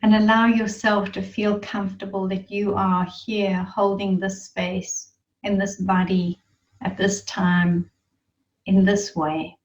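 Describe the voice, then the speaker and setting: Slow-paced woman leading meditation, distant echoey sound. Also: mid-range voice, level tone. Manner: soothing, reverent, with long pauses. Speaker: guided meditation leader. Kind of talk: guided meditation. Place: online group gathering. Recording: muffled, roomy, distant online-call sound.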